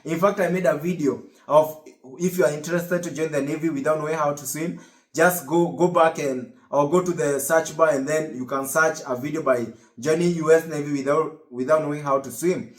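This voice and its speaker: A young man with a Kenyan accent talks straight to his viewers, his voice sitting a little high for a man. He rambles, circles back and restarts phrases as he goes. The sound bounces around a reverberant room. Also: fairly even intonation, fairly quick. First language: Kikuyu. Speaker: vlogger. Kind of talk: casual motivational talk to camera.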